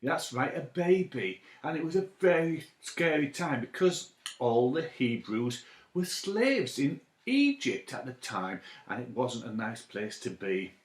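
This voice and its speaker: A man chatting playfully and excitably to children as a story time presenter, asking them questions, in an echoey room. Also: mid-range voice, unhurried. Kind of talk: playful chat addressed to children.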